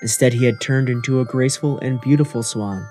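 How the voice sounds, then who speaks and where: Mellow-voiced male storybook narrator. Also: mid-range voice, steady tone, brisk. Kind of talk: scripted children's storybook narration. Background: music.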